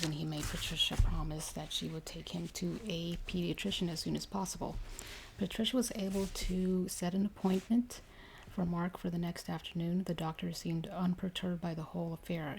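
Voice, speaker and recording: A woman reading a ghost story aloud in a hushed, measured ASMR style, with occasional stumbles. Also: lowish voice, gravelly, slight background noise, poor audio. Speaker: story reader.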